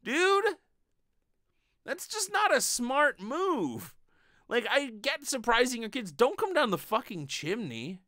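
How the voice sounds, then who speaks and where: A man's voice: very high-pitched, very animated, slow, bright, forceful tone, no echo. A man in his thirties doing casual, sweary comedic commentary as a show host, in an incredulous, ranting manner, close on a desk mic at home. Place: small home bedroom. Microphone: desk microphone with pop filter, close.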